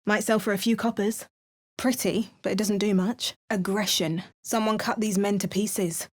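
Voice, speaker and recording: Actress playing a British female game hero; dry wit, steady mid-range voice, close booth mic. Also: medium pitch, moderate pace, lightly acted. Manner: dry, wry and matter-of-fact. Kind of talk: video game voice lines. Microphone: close studio mic.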